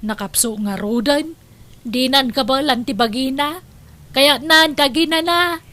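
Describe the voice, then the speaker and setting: Woman, Ilocano accent, high-pitched, bright, sharp-tongued, defensive, audio comedy drama. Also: unhurried. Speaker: drama voice actor, daughter-in-law caring for an old mother. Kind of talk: Ilocano radio-style comedy drama acting.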